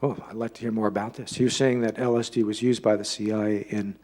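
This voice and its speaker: A man with a slightly husky voice giving an informal public lecture, halting as he repeats and rephrases himself. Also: on the low side, little pitch movement, warm tone. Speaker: lecturer giving a talk and taking audience questions.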